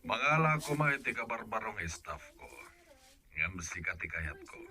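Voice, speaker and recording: A man's voice: on the low side, very animated, normal speed, pushed and bright, exaggerated voice. A man with an Ilocano accent playing a genial, decisive bar owner in a radio drama. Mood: friendly, business-like.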